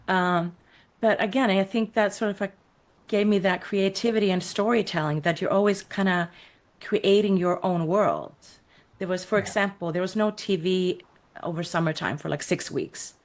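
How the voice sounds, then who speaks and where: A middle-aged woman from Iceland, a podcast guest telling her life story in a reflective, unhurried way with many fillers. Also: mid-range voice. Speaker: podcast guest, creative coach. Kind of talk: casual interview conversation.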